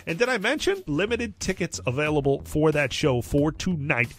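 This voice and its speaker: A man's voice: on the high side, big pitch swings, moderate pace. A man working as a music radio DJ, giving upbeat, conversational patter with emphatic, enthusiastic promotion between songs. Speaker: radio DJ, music station host. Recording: radio broadcast with music behind.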